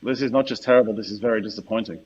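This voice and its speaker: A man's voice: lowish voice, very fast, a little mellow, a little hiss or hum. A man, a rabbi, chatting as a radio guest in a hesitant, word-repeating way over a lo-fi link. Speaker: senior rabbi, show guest. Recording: dull lo-fi remote link. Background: light guitar music.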